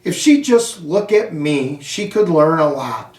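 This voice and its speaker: An American man reading a children's storybook aloud in a deliberate, phrase-by-phrase way. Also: a little high, expressive, a little mellow. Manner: slow, deliberate, phrase-by-phrase delivery. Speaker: school superintendent reading aloud. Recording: echoey room, distant microphone.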